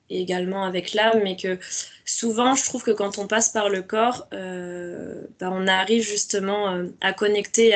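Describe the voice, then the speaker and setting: A woman with a medium-pitched voice talks as a guest in a relaxed conversation. She is a wellness coach and speaks casually, reflecting as she goes.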